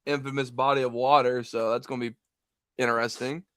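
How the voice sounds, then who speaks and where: A man's voice: medium pitch, level tone, brisk, a bit bright, smooth, no room sound, studio quality. A young-sounding man, a guest wrestler talking eagerly and rambling with plenty of "like". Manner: eager, rambling, heavy filler. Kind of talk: casual interview chat.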